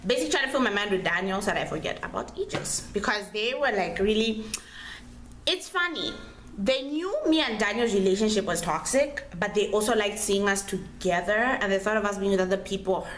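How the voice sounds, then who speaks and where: A young woman vlogger tells a story in a casual, chatty way. She is slangy and dramatic and repeats herself for emphasis. Her medium-pitched voice echoes in the room over faint background noise. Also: lively intonation, brisk, a bit bright. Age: young adult. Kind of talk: casual animated storytelling to camera. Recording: roomy echo, clear background noise.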